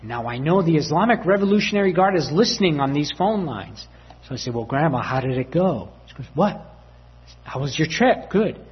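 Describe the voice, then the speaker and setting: A man's voice: fairly high pitch, very animated, brisk, muffled recording. A man preaching a sermon as a story, acting out family dialogue with voices and laughter.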